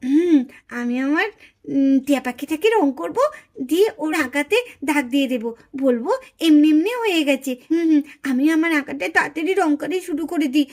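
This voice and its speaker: Woman voicing a very high, sly little girl. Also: brisk, a little mellow. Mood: scheming. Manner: scheming, self-justifying, whiny. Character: sly, jealous little girl at a drawing contest. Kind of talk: Bengali puppet-show cartoon acting.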